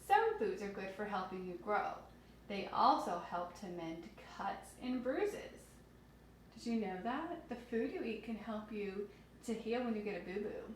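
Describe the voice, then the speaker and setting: A woman teacher reads aloud to young children in an expressive way. She explains simply and asks questions as she goes. Her voice sits at a middle pitch, recorded in a strongly echoing room with faint noise. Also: measured pace. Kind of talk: children's read-aloud lesson with asides.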